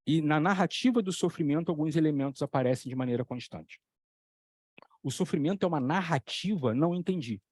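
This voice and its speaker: A man talking as a psychoanalytic lecturer, telling stories with lively examples and repeating key phrases for emphasis. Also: fairly high pitch, fairly quick, no echo, studio quality. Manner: animated storytelling, acting out voices in the examples. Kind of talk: explanatory talk on a video channel.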